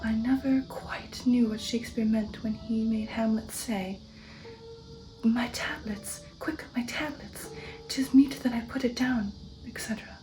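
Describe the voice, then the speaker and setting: Hushed woman whispering an ASMR story. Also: on the high side, low-quality recording. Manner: hushed, breathy whispering, slow and deliberate. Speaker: audiobook-style reader and ASMR host. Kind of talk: whispered ASMR literary narration. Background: faint background music.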